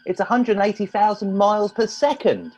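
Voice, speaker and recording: Guy doing naive young pilot boy, husky, up and down, silly space radio play. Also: high voice, expressive, brisk, darkish tone, soft background music. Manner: boyish, literal, defensive. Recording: dull lo-fi recording.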